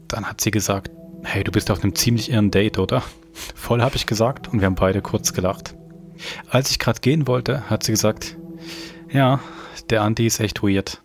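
A man presenting a podcast and reading a story aloud in a conversational way. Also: lowish voice. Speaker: podcast host. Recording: music underneath.

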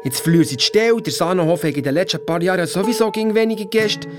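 A performer playing a cheeky, excitable schoolboy detective in a children's audio drama, telling things in slangy Swiss German-accented speech. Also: mid-range voice, fast talker, over music, natural voice. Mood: worried. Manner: slangy, teasing.

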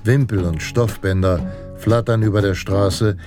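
An actor playing a solemn western storyteller, narrating in a deliberate, portentous manner. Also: male voice, lowish voice, steady tone, lightly acted. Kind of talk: dramatic scripted western narration. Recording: guitar music behind. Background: plucked guitar music.

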